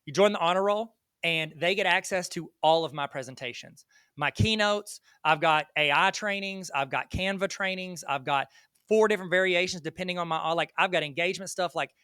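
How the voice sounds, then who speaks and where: A man's voice: high voice, fairly quick, bright, forceful tone, clear, resonant tone, dry recording. A man with a Southern US accent, a guest on an interview, talking in a rambling, joking, self-deprecating way. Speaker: guest educator. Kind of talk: casual interview chat.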